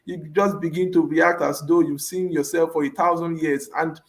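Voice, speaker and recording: A man speaking as a youth leader on an online panel over a video call, earnest and enthusiastic with frequent pauses. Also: on the high side, fairly even intonation.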